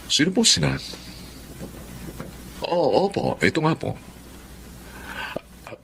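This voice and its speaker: A man's voice: on the high side, sing-song, slow pace, vocal fry, a bit bright, a little hiss or hum, full character voice. A Filipino man playing a kindly, concerned middle-aged husband in a radio drama, earnest in manner. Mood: alarmed.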